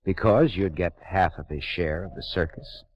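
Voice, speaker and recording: A man's voice: sits low, moderate pace, slight background noise, natural voice. An actor playing a wry, tense male private detective who narrates in clipped, hard-boiled 1940s radio style. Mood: wry, blunt. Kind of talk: 1940s radio drama acting. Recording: dull lo-fi 1940s radio broadcast. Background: dramatic music score.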